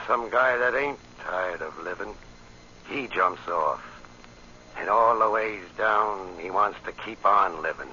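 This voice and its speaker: A man's voice: on the low side, bright, forceful tone. An actor playing a menacing American crime boss with sneering tough-guy slang, in old-time radio drama style. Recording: muffled, hissy old radio recording.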